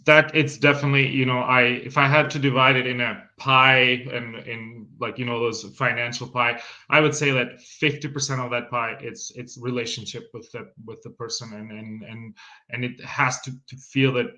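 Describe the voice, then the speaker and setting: Bright-voiced Italian-accented man, halting. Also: medium pitch, flat delivery, poor audio. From Italy. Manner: hesitant, many restarts, laughs often. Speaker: winery sales manager guest. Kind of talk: casual interview conversation.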